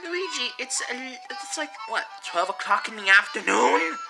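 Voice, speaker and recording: A man's voice: high-pitched, animated, measured pace, vocal fry, over music, exaggerated voice. A man voicing an exasperated, stubborn cartoon plumber brother in an amateur comedy skit, whiny and emphatic.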